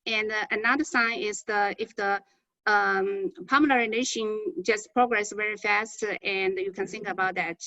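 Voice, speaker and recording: A woman physician from China with a Chinese accent, speaking halting non-native English with repeated words as she presents to colleagues on a medical conference call. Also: medium pitch, steady tone, sharp tone, no room sound. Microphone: conference call connection. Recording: dull, band-limited conference call audio.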